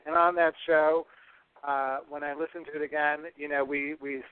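Smooth clear guy doing upbeat podcast host stuff, dry sound, kinda lo-fi. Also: fairly high pitch, level tone, moderate pace, dry recording. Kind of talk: upbeat scripted podcast hosting.

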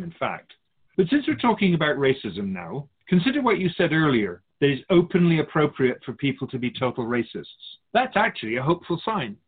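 A man playing a wise, curious otherworldly spirit guide in a radio drama, musing formally with gentle wry humour. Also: mid-range voice, breathy edge. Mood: earnest, instructive. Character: Keeper of Souls Purpose, wise curious otherworldly being. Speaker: spirit guide being interviewed. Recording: muffled phone-like narrow band.

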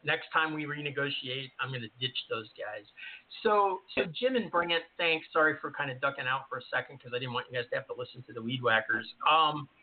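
A man's voice: medium pitch, lively intonation, a little fast. A man co-hosting a live online show from home, chatting casually and apologizing for the noise outside. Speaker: podcast co-host. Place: home office with yard work outside. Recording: tinny call-in audio with faint hiss.

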